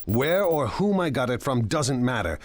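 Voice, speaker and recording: An actor playing a confident, sly crime lord in a video game, sardonic and self-assured. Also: male voice, mid-range voice, sing-song, normal speed, dry recording, lightly acted. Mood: sly confidence. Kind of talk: scripted video game acting.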